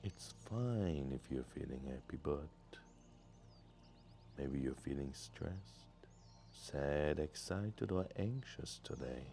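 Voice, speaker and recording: A man gently leading a guided meditation in a soothing, deliberate way with long pauses. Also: a bass voice, lively intonation, vocal fry. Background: music.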